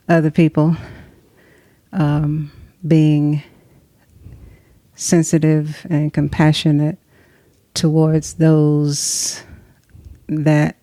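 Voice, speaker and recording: A middle-aged woman from Tennessee, speaking as an interview guest in a reflective, soft-spoken way with pauses. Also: low voice, no room sound. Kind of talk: conversational interview. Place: recording session in Atlanta.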